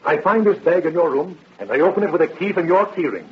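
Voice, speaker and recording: An actor playing a brisk, confident male police detective, pressing and wry, in a 1930s-style radio crime drama. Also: fairly high pitch, big pitch swings, husky, heavily acted. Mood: stern, accusing. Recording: dull old radio recording.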